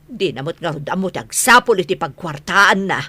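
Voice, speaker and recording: A hard-working Ilocano wife and mother speaks in a low, forceful voice. She is plain-spoken, insistent and easily exasperated, as heard in a dry radio drama studio. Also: animated, moderate pace, slightly rough, dry recording, heavily acted.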